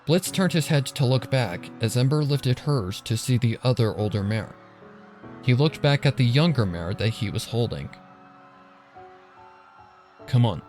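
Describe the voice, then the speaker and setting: A man's voice: mid-range voice, animated, normal speed, darkish tone, faint music behind. A man reading scripted dramatic story narration for a fan fiction.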